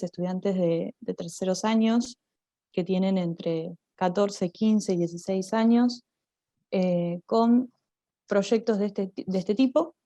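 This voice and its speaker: Mellow-voiced Argentine woman presenting. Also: mid-range voice, dry recording, muffled recording. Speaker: drama teacher presenting at a conference. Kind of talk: spoken conference presentation.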